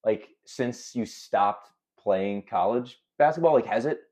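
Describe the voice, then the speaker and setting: A man's voice: mid-range voice, animated, a little slow, a little mellow. A young man who is a podcast guest, talking casually in a reflective, rambling way full of fillers. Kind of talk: casual conversation.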